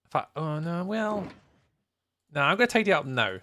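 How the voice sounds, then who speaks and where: A man's voice: a little high, big pitch swings, normal speed, pushed and bright. A man chatting casually on a gaming livestream, thinking aloud in a playful, rambling way. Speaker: live streamer, host.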